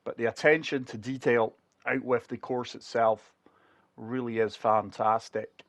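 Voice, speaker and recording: A man's voice: medium pitch, level tone, a little slow, no echo. Short-winded man commentating golf. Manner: chatty, talks viewers through each shot. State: chest infection, short of breath. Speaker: gaming channel host. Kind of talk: casual golf game commentary.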